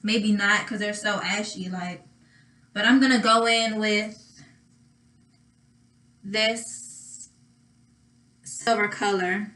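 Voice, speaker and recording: A young woman with a slightly raspy voice chatting casually through a makeup tutorial, thinking aloud and pausing as she works. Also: mid-range voice, fairly even intonation, bright, forceful tone. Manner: thinking aloud, drawn-out pauses while applying makeup. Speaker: makeup vlogger.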